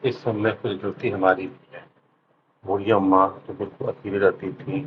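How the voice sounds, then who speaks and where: A man playing a calm, earnest village headman who addresses villagers in a measured, moralizing way in a children's cartoon. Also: lowish voice, phone-quality sound, a little hiss or hum, lightly acted. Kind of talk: Hindi children's cartoon dialogue, speech to villagers.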